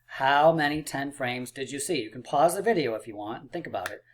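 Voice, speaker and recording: A man teaching a math lesson for children, upbeat and encouraging, a little hoarse and clearing his throat. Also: medium pitch, lively intonation, a little fast, a little hiss or hum. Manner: upbeat and encouraging, playful drum rolls and counting aloud. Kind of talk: math lesson video for kids.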